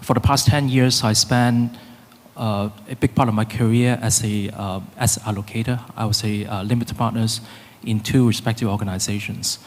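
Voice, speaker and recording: Halting man. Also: on the low side, fairly even intonation. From Hong Kong. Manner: halting, many filler pauses. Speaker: conference panelist, fund managing director. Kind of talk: panel self-introduction. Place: conference hall. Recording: long reverb of a conference hall.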